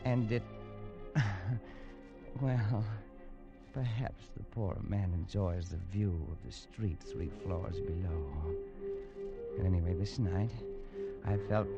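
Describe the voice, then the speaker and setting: A man's voice: deep voice, takes it slowly, highs cut off, loud music behind the voice. An actor playing a feverish man tormented by a vision, anxious and breaking into frantic cries, in 1940s radio drama style. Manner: anxious, swinging into frantic shouting. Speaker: lead character.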